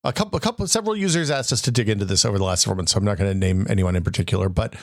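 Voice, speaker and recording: Dry-sounding hoarse man co-host. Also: lowish voice, animated, no echo. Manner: musing, halting questions with restarts. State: a little hoarse. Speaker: podcast co-host. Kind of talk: casual tech chat.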